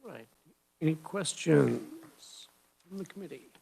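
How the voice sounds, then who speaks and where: A man chairing a legislative committee hearing, running through the testimony list in a brisk, informal way. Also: a little high, animated, creaky, darkish tone, rough recording. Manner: brisk, informal procedural patter.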